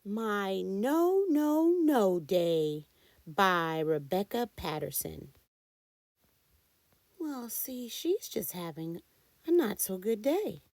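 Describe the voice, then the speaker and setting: Expressive woman reading a kids' book. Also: medium pitch, extremely slow, no room sound. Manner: expressive, animated, breathy whispered narration. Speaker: children's storytime host. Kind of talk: reading a picture book aloud to kids.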